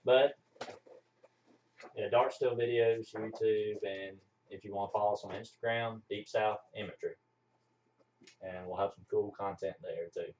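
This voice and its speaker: A man's voice: lowish voice, fairly even intonation, normal speed, pauses often, a little mellow, slight background noise. A man chatting casually as he promotes his channel on a livestream. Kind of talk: casual chat. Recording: muffled, echoey, distant mic.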